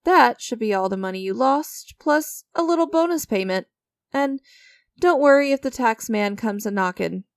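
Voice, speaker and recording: A woman's voice: a little high, expressive, normal speed, no echo, clean studio sound. A woman playing an enigmatic, creepy stranger who strikes sinister deals in a dramatic audio roleplay, teasing and theatrical with a smug, coaxing manner. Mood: smug, playful.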